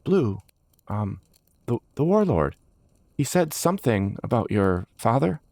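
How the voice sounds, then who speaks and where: A man's voice: medium pitch, big pitch swings, unhurried, gravelly, mellow, no room sound, pitched above the performer's own voice. A man voicing an earnest, troubled male adventurer with an urgent, sincere manner in a fantasy story. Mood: hesitant.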